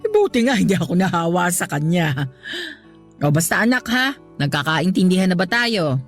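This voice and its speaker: A woman's voice: lowish voice, expressive, normal speed, faint music behind. A woman playing a humble, caring middle-aged mother in a radio drama, talking in a frank, gossipy way. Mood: caring, firm. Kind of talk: Tagalog radio drama acting.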